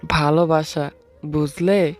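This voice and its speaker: A man's voice: on the high side, steady tone, takes it slowly. A man narrating a dramatized first-person love story and voicing its affectionate young husband. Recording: music under the voice.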